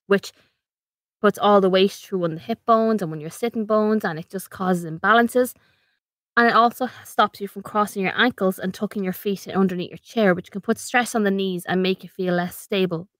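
Female podcast host, Irish accent, mid-pitched, slightly snuffly from a cold, practical conversational explainer, dry close-mic sound. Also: no echo.

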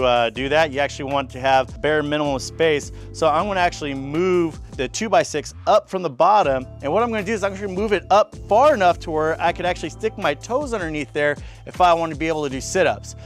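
A man in his thirties giving a casual, chatty DIY woodworking tutorial on an outdoor covered porch workshop, talking to viewers as guys. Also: a little high. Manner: upbeat, chatty, says guys and man a lot. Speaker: fitness coach building gym gear.

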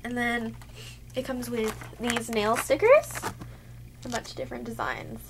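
Young woman talking into a webcam, high voice, sick and kinda losing it, lots of "like". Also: big pitch swings, unhurried, noisy background. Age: young adult. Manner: chatty, lots of "like" fillers. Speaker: beauty vlogger. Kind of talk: casual haul chat to camera. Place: cluttered bedroom, indoors.

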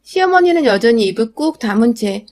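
A young adult woman reading a scripted first-person radio story in a steady, confiding way. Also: fairly high pitch, a little fast.

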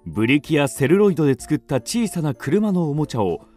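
Animated male storyteller over music. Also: a little high, brisk. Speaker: narrator. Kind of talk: scripted storytelling narration for a children's radio drama.

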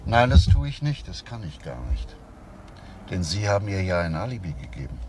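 Male police detective, low register, courteous and probing, for crime radio drama; slight noise. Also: sing-song, normal speed. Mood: polite, probing. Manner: polite, probing. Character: calm, persistent male police detective questioning suspects. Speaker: actor in a crime radio play. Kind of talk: German crime drama dialogue.

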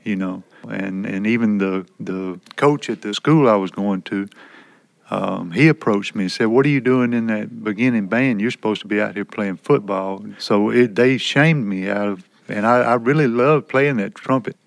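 A man's voice: fairly low pitch, moderate pace, creaky, no echo. A man telling a personal story in a conversational group discussion. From Alabama, United States. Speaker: prison poetry student.

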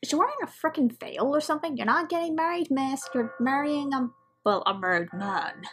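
A woman with a high voice comments casually on a video. She thinks out loud as a reaction host, in an informal way. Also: animated, brisk.

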